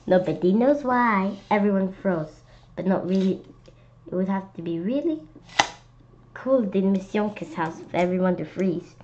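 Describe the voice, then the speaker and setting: A woman narrating a children's book aloud in a lively, expressive way. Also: medium pitch, normal speed, lo-fi sound, background noise. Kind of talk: storybook read-aloud.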